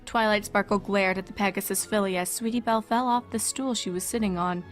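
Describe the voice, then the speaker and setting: A woman narrates a story in a scripted, storybook style. Her voice sits at a medium pitch, with soft music playing underneath. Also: fairly even intonation, a little fast. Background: background music.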